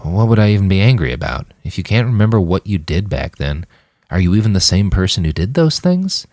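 Man as a blunt, weary young man, deep-voiced. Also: big pitch swings, a little fast, a little mellow, dry recording, clean studio sound. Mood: defiant. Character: Manny, weary young man, grieving yet defiant and blunt.

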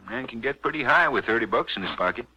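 An actor playing a wary, folksy sheriff's deputy in a 1950s radio drama. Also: male voice, medium pitch, animated, fast, pushed and bright, breathy edge, dry recording, dull audio, heavily acted. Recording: noisy old 1950s radio recording.

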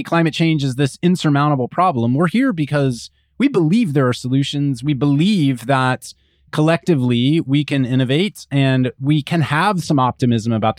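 A man's voice: on the high side, dry recording, clean studio sound. A man hosting an interview, talking conversationally as he moderates a discussion. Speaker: podcast host and moderator.

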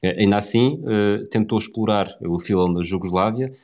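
Podcast co-host, male, European Portuguese accent, deep voice, conversational sports storyteller with a halting, think-aloud delivery. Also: darkish tone. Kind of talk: conversational football history storytelling.

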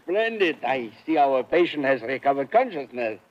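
An actor playing a jovial, fussy, fat and eccentric rich patient in a 1950s radio drama. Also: male voice, mid-range voice, big pitch swings, normal speed, lightly acted. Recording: muffled, slightly noisy old radio.

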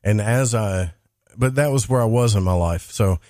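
A man hosting a talk podcast, chatting in a rambling, monotone way. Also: on the low side, normal speed, no room sound, clean studio sound. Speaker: podcast host. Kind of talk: casual interview chat.

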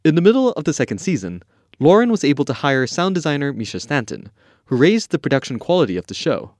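A man's voice: a little high, very animated, quick pace, dry recording. A man hosting a podcast, reading scripted narration that tells a guest's story. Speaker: podcast host and interviewer.

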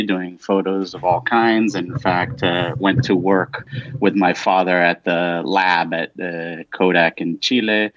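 A man's voice: low-pitched, no room sound. A middle-aged man from Latin America speaking as a podcast guest, telling his story in a casual, informal, rambling way. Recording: dull, muffled band-limited audio.

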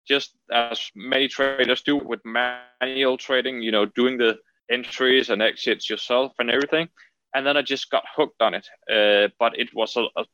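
A man with a Danish accent answering interview questions conversationally, haltingly, often repeating words. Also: mid-range voice, fairly bright tone, poor audio. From Denmark. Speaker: podcast guest, trading entrepreneur.

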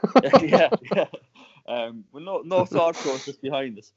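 A man from Cork with an Irish accent, telling his founder story as a podcast guest in a relaxed, chatty way. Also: a little high, moderate pace, lo-fi sound, a crowd in the background. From Ireland. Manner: chatty, laughs often, many restarts. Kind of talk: casual podcast interview storytelling.

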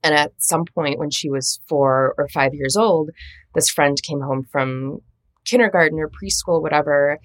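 A woman's voice: sits low, unhurried, dry recording. A woman from Minnesota whose first language is Latvian, speaking as an interview guest, telling reflective stories in a conversational way. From Minnesota, United States. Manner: reflective, storytelling, warm laughs. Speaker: translator and editor, interview guest.

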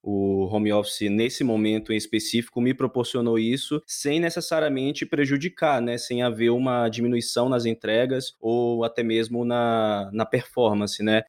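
A man's voice: medium pitch, moderate pace, hardly any pauses. A man from Salvador with a Bahian accent, chatting relaxedly as a podcast guest and often tagging his sentences with questions. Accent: Bahian Portuguese. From Salvador, Bahia, Brazil. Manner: relaxed, lots of tag questions. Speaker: guest, advertising copywriter. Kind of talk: casual interview chat.

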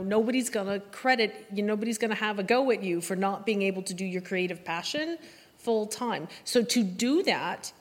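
A woman speaking as a guest on a live panel, giving blunt, emphatic advice and repeating her points. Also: medium pitch. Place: live event panel in a hall. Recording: echoey hall with long reverb.

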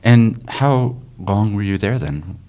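A man's voice: fairly low pitch, lively intonation, takes it slowly, dark tone. A man hosting a conversational interview, asking encouraging, probing questions. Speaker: interviewer host.

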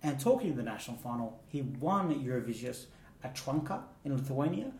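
A man's voice: medium pitch, very animated, fairly quick, darkish tone, distant mic, slight background noise. Chatty man, roomy and set back from the mic. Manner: chatty, laughs often, fond asides. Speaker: co-host. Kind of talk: casual chat reviewing song contest entries.

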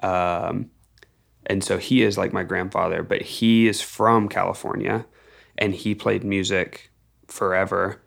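A man's voice: low-pitched, fairly even intonation, unhurried, vocal fry, a bit bright. A young American man from Texas, telling stories casually and informally as a musician guest, rambling and stressing key words. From Texas, United States. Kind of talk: casual storytelling in an interview.

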